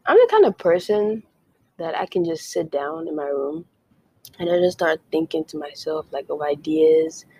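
A woman's voice: low voice, animated, normal speed, a little mellow, slightly rough, low-quality recording. A young woman from Nigeria hosting her own podcast, chatting casually with many "like"s and playful whispered asides. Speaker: podcast host. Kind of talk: casual solo podcast chat.

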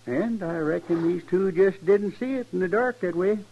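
An American actor playing a mild, folksy homesteader in a radio western, speaking in a rural, matter-of-fact, innocent-sounding way. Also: male voice, high-pitched, normal speed. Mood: innocently matter-of-fact. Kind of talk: 1950s radio western acting. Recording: dull old radio recording.

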